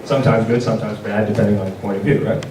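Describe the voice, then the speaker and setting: A man's voice: fairly low pitch, little pitch movement, rapid-fire, noisy background. A man hosting a panel, giving an informal product presentation with a casual, wry, joking delivery. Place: large indoor convention panel room. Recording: roomy, distant mic, audible audience. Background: audience crowd.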